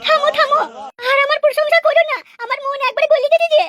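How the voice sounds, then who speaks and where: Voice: very high voice, fairly even intonation, fast talker, background noise. A performer voicing a shameless, flirtatious village wife in a cartoon, gushing and brazen. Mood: adoring. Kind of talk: cartoon comedy dialogue.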